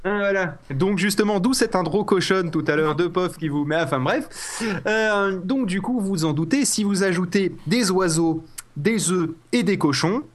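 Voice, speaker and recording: A man's voice: high-pitched, moderate pace. Joking man with a slight cold. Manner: rambling, jokey, many fillers and restarts. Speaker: podcast host. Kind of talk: casual comedic podcast chat.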